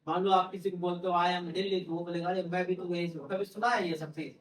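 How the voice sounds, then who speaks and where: A man teaches a classroom lesson, asking the students the same thing again and again. His voice sits high and carries through an echoey room. He sounds a bit far from the mic. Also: steady tone, fast. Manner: questions the class over and over, repeats key phrases. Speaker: English teacher. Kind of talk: classroom lecture.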